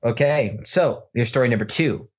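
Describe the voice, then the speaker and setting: A man with an American accent hosting a casual, jokey podcast, telling news stories in an informal, animated way. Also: medium pitch, normal speed. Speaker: podcast host. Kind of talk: casual comedic news banter.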